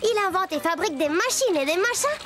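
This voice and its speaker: A woman voicing an excitable, cheerful little girl who narrates stories in a children's cartoon, chatty and exclamatory. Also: very high voice, quick pace. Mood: storytelling, animated.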